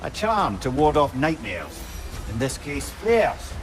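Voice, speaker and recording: A man with a Scottish accent playing a wry, learned old talking head in a video game, telling tales in a measured way. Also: fairly high pitch, big pitch swings, exaggerated voice. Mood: wry, friendly. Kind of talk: video game character acting. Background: music.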